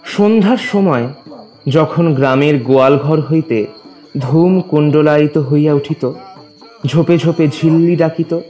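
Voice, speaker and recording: A man narrating a literary story as a scripted reading, in a formal, measured storytelling manner. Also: fairly high pitch, dark tone, faint music behind.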